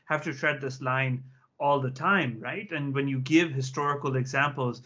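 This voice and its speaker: A man's voice: medium pitch, fairly even intonation, normal speed, fairly bright tone. A man, an economics lecturer, speaking candidly with many fillers in a panel discussion on a video call. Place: small room indoors. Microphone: video call with earphone mic. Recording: slightly dull video-call audio.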